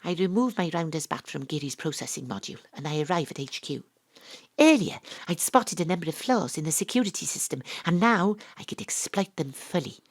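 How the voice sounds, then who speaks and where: An actress playing a brisk, sardonic female private investigator in an audio drama, telling the story in a dramatic, knowing way. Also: low voice, expressive, no echo, clean studio sound. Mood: dramatic, confiding. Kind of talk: audio drama narration and dialogue, hard-boiled detective parody.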